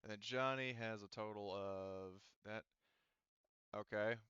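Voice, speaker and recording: A man's voice: fairly low pitch, steady tone, a little slow, a bit bright, smooth, no echo, highs cut off. A man speaking informally as game master, narrating a tabletop roleplaying game casually and swearing freely.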